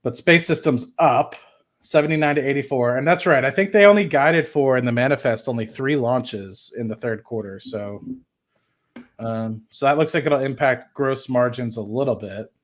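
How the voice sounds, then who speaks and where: A man's voice: mid-range voice, moderate pace. A man hosting a livestream, talking informally and thinking aloud as he reads through documents and comments on them. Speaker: host of an investing livestream. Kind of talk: casual live commentary while reading documents.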